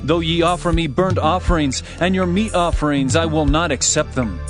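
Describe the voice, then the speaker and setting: A man narrating a dramatized Bible reading in a solemn, declamatory manner. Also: a little high. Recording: music under the voice. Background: background music.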